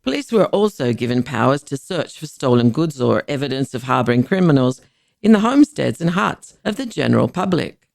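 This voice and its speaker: Low-creak woman narrating history. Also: sing-song, darkish tone, no echo, studio quality. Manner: measured, formal, deliberate phrasing. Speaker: podcast narrator. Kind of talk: scripted historical documentary narration.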